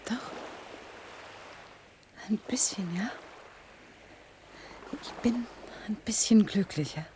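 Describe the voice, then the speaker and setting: A woman playing a secretive, grieving programmer in a radio play, halting and guarded, with a breathy voice. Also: mid-range voice, mellow, slight background noise, lightly acted. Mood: tender, subdued.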